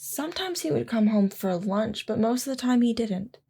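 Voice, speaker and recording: A woman playing a devoted military wife in an audio drama, gently and wistfully recalling life with her husband. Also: fairly high pitch, expressive, moderate pace, vocal fry, slightly rough, full character voice. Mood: fond, reflective. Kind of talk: audio drama acting, reminiscing narration.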